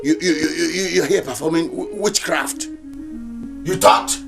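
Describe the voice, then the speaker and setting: An actor with a Nigerian accent playing a harsh, bullying uncle in a film drama, scolding and repeating commands over music. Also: male voice, a little high, a little slow, gravelly. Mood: angry, scolding. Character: harsh, bullying uncle berating his orphaned niece.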